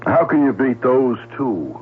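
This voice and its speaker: An actor playing a probing police lieutenant in a radio drama, gravelly and deliberate, putting pointed questions. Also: male voice, mid-range voice, lively intonation, natural voice. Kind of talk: 1970s radio drama acting. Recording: narrowband old radio broadcast with hum.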